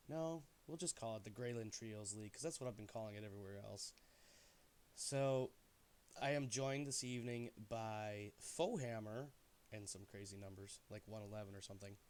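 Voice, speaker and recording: Man, stream host, stuffy-sounding, lively and jokey, rambling asides, mid-pitched voice. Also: clear, resonant tone. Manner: animated, jokey, often trailing off into asides. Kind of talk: casual stream commentary.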